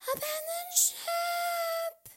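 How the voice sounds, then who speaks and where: A woman voicing an excitable young creature in a children's story, shrieking her calls. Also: very high-pitched, drawn-out words, voice pushed up, exaggerated voice. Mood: alarmed shriek.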